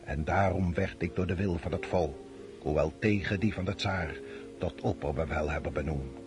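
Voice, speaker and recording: A man acts an old Russian field marshal in a radio play. The voice is low and deliberate, heavy with weary authority and brooding. Faint music plays behind the speech. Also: natural voice. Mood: solemn resolve. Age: older. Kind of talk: Dutch radio drama acting.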